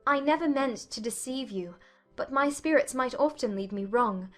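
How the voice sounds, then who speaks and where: A woman playing a witty, candid young gentlewoman in a dramatized classic romance audiobook, polite and gently probing in formal period English. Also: sits high, moderate pace, soft background music. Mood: modest, curious.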